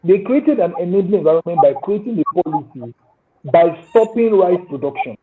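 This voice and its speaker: A man's voice: high voice, expressive, normal speed, a little hiss or hum. A man with a Nigerian accent, speaking as a panelist over a call and earnestly explaining his points with proverbs and examples. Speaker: panelist, agribusiness owner. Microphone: remote call audio. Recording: tinny call line.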